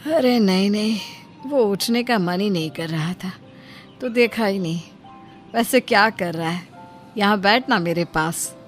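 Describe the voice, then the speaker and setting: A woman's voice: mid-range voice, unhurried, faint music behind, close to an everyday voice. A woman voicing a bossy, ailing mother-in-law. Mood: weary. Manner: complaining, bossy. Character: ailing possessive middle-aged mother-in-law. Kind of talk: cartoon voice acting.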